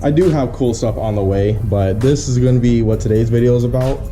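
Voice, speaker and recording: A man's voice: medium pitch, brisk, warm tone, faint music behind. A man talking in a casual, laid-back, slangy way as a car vlogger explaining a hands-on job. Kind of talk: casual how-to chat.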